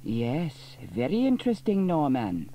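A woman's voice: low voice, wildly swooping pitch, a little slow, mellow, slight background noise, pitched above the performer's own voice, full character voice. A man voicing a nosy, gossiping middle-aged village woman who clucks and gasps, in a storybook reading. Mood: nosy, flustered.

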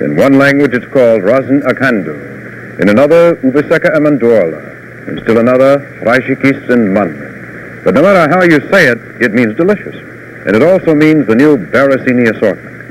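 A middle-aged American man hosting a 1950s television news show, with polished, deliberate announcer delivery. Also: mid-range voice. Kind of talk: 1950s live TV news and sponsor pitches. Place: television studio. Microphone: lapel or tie microphone. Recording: noisy vintage 1950s television broadcast.

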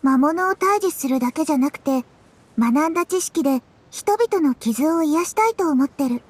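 A woman playing a kind young healer adventurer in a game, gentle and sincere. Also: high voice, expressive, moderate pace. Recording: music under the voice.

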